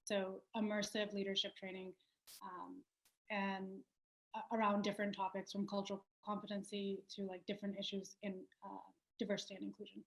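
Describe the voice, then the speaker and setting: A young adult woman from Pakistan, a company founder answering interview questions in a thoughtful way, with many fillers and restarts. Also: mid-range voice. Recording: roomy echo.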